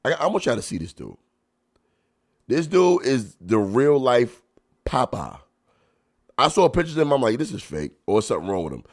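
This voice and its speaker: A man hosting a podcast, a stand-up comic chatting and reacting to clips, with a stuffy nose and loud laughs. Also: medium pitch, moderate pace, pauses often. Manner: animated, laughs loudly, chatty slang. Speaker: podcast host and stand-up comedian. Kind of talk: casual chat reacting to clips.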